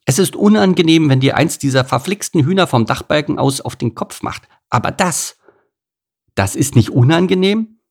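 A man's voice: fairly high pitch, animated, normal speed, voice pushed up. A man voicing a melodramatic, indignant female cow who whines and complains shrilly in a storybook. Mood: indignant, shrill. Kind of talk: storybook character voice.

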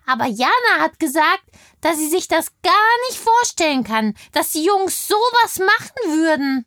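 A woman's voice: very high voice, big pitch swings, measured pace, fairly bright tone, no room sound, clean studio sound, exaggerated voice. A woman playing a chatty, indignant kindergarten girl in a children's audio play, telling things in childlike everyday words. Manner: childlike, colloquial storytelling.

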